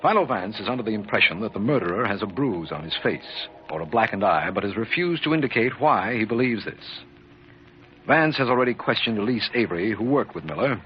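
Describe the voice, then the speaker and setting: Male, official narrator an actor as a DA: low, formal, factual, muffled 1940s radio sound. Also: lively intonation, fairly quick, close to an everyday voice. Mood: brisk, official. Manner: formal, matter-of-fact. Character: businesslike district attorney recapping a murder case. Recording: muffled, hissy old radio recording.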